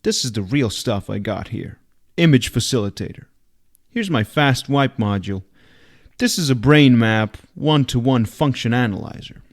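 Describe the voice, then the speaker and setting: A man's voice: mid-range voice, animated, moderate pace. Man reading as an intense, brash woman hacker. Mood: proud.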